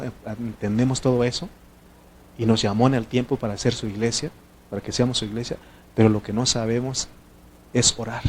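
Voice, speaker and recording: A man preaching a sermon from Mexico, first language not Spanish, talking to his congregation in an animated way, moving between loud exhortation and quiet asides. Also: medium pitch.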